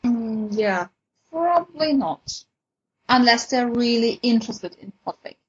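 A woman's voice: fairly high pitch, animated, measured pace, slightly rough, muffled recording. A woman talking casually as a participant in a podcast discussion.

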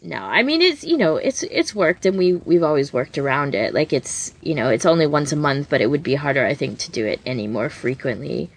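Low-pitched woman chatting casually. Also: lively intonation, fairly quick, vocal fry, few pauses, husky. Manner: rambling, heavy use of "like" and "you know". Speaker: podcast co-host. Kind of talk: casual chat.

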